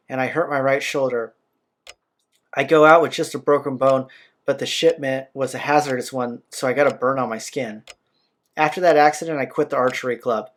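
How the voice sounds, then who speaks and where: A man hosting a let's play, reading game narration aloud with casual asides. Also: medium pitch. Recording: game music behind the voice.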